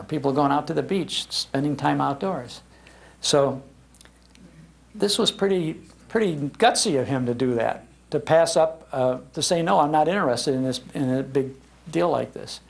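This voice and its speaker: An older American man giving an informal, anecdotal public talk in an animated, conversational manner. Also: on the high side, creaky. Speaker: speaker on old-time radio history, broadcaster. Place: public library talk before an audience.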